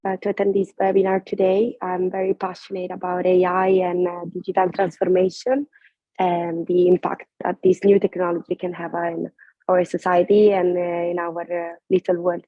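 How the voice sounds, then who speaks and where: A woman from Italy with an Italian accent, introducing herself on a webinar call in an earnest, halting way. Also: fairly low pitch, monotone, dry recording. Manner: earnest, hesitant with fillers. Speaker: webinar panelist. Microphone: video call. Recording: dull, dry lo-fi audio.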